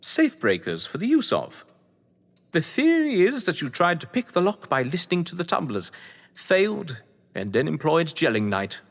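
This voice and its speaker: A man acts out a theatrical English lord of the manor who writes crime novels. He is high-pitched and swoops grandly as he gives pompous, arch orders. The audio drama rings with long hall reverb. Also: huge pitch swings, normal speed, full character voice. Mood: arch, amused.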